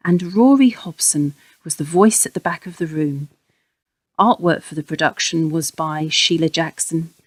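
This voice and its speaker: A woman's voice: fairly low pitch, darkish tone, no room sound. A woman announcer reading scripted credits for an audio production.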